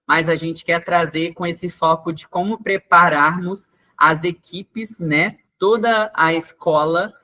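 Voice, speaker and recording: A man's voice: fairly high pitch, fairly even intonation, slow pace, hardly any pauses, bright, forceful tone. Chatty man hosting a webinar. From Minas Gerais, Brazil. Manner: chatty, many fillers like "né" and "tá". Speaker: webinar host, experience coordinator. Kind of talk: online webinar presentation. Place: online video call.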